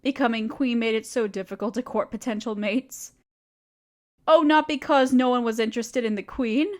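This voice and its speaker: High soft-spoken spider queen mom, woman doing the voice, patient and doting, super protective. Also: normal speed, lightly acted. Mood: tender. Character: gentle motherly female spider queen, protective. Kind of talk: audio roleplay acting.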